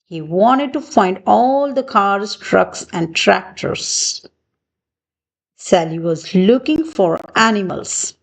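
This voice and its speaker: A woman reading a picture book aloud for young children, with deliberate, expressive phrasing. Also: mid-range voice. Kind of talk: read-aloud storybook narration for young children.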